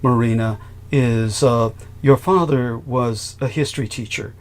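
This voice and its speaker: A man's voice: mid-range voice, fairly even intonation, measured pace. A man hosting a podcast conversation, formal and effusive, often restarting his sentences. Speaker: host.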